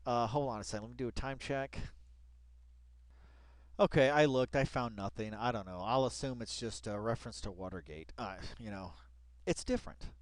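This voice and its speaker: A man's voice: mid-range voice, no echo. A man giving casual commentary as he reads aloud, rambling with exclaiming, self-interrupting asides and a sarcastic, mock-dramatic delivery. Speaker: online commentator. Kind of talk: casual commentary while reading an article aloud.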